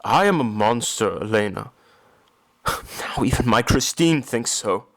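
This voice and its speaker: A man plays a tormented Phantom of an opera house in an audio drama. He is a brooding, masked recluse who speaks in grave, formal, deliberate phrases at a medium pitch. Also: expressive. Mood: anguished, bitter.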